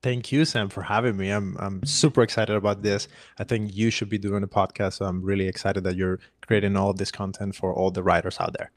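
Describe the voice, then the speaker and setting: Cuban filmmaker guest, man in his thirties, flat even delivery, earnest. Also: deep voice, brisk, few pauses, no room sound, studio quality. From Cuba. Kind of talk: casual interview storytelling.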